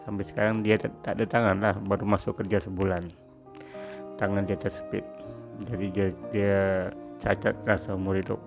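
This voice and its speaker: A middle-aged Sabahan man recalls his working years in a deep, low voice. His tone is gravelly with noticeable fry, and he talks in an unhurried way, full of small fillers. Faint music plays underneath. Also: level tone. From Sabah, Malaysia. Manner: reflective, unhurried, with frequent fillers. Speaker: former factory worker telling his story. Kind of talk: personal storytelling.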